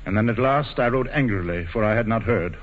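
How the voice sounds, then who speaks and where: An actor playing a proud, defiant English lord and soldier, formal and commanding, in 1940s radio drama style. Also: male voice, medium pitch, a little fast, close to an everyday voice. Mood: aggrieved. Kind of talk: 1940s radio acting, stage classical drama. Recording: muffled, hissy 1940s radio recording.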